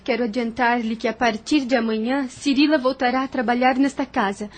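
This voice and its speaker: An actress with a Brazilian accent playing an earnest, anxious young mistress of a plantation house in an audio drama. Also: female voice, a little high, a little mellow, close to an everyday voice. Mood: firm, formal. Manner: earnest, hesitant when uneasy.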